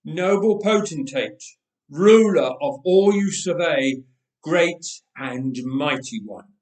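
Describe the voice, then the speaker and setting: A man's voice: on the high side, expressive, darkish tone. Man as a deferential, scheming envoy, echoey room. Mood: reverential. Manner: formal, deferential, deliberate. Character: resolute male assassin posing as humble, reverent envoy. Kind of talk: storybook reading. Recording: roomy, echoing indoor sound.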